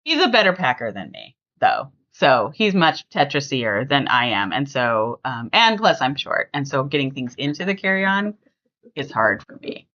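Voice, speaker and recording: Bright, lively, chatty middle-aged woman. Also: very deep voice, normal speed, highs cut off. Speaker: podcast host. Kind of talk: casual family podcast chat.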